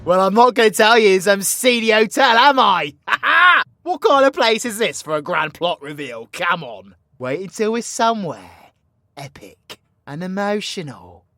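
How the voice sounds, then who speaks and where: A man's voice: sits high, sing-song, measured pace, pushed and bright, dry recording, clean studio sound, full character voice. A British man playing a cocky, foul-mouthed, vengeful supervillain magician in an audio roleplay, with slangy, theatrical, teasing banter. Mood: mocking, exasperated. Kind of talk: ASMR-style audio roleplay, addressing an unheard companion.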